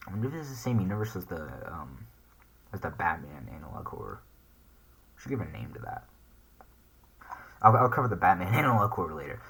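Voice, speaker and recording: Man, low-pitched, bright forceful tone, casual reaction host, hesitant, informal commentary. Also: very animated, fairly quick, pauses often, husky, rough recording. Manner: hesitant, informal, thinking aloud. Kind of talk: casual reaction commentary, reading screen text aloud.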